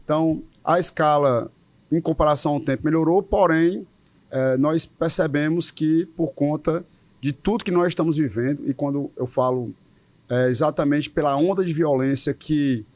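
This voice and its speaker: Um homem com sotaque cearense, presidente de uma associação de policiais, dá uma entrevista com tom sério e enfático e repete palavras às vezes. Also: male voice, on the high side, normal speed, a little mellow, no room sound. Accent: Cearense Portuguese. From Ceará, Brazil. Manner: earnest, emphatic, with repeated words. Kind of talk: interview answers.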